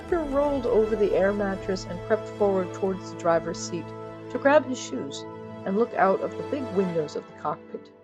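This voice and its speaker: A woman narrates a scripted fiction podcast over music. Her voice sits at a middle pitch, and her delivery is theatrical, wry and playful, as if confiding in the listener. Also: lively intonation, normal speed.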